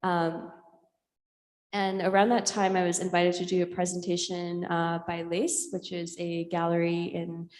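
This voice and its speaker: A woman from India, a native Urdu speaker, giving an informal artist talk in a reflective, hesitant way with frequent pauses and ums. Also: lowish voice, monotone, darkish tone. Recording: echoey hall with long reverb.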